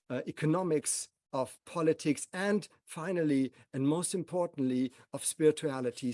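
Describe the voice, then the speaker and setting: Mellow man, slow and solemn. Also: fairly high pitch, no echo, studio quality. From Germany. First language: German. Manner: measured, speaks in short solemn phrases. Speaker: speaker at a gathering. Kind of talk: reflective personal talk.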